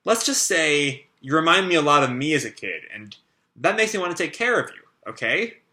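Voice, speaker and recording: A man reading aloud a comic, voicing a kind, fatherly adult male leader in a gentle, reassuring way. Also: fairly high pitch, big pitch swings, fairly quick, voice pushed up.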